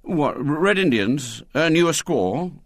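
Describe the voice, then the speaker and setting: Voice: medium pitch, wildly swooping pitch, normal speed, no room sound, studio quality, pitched above the performer's own voice. A storyteller voicing a grumpy, self-pitying schoolboy who blusters indignantly and stammers when flustered. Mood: grudging interest.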